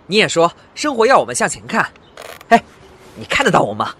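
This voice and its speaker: A man's voice: on the high side, wildly swooping pitch, brisk, a bit bright, a little hiss or hum. A man acting a patient young suitor in an audio drama, coaxing and half-teasing. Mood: reassuring.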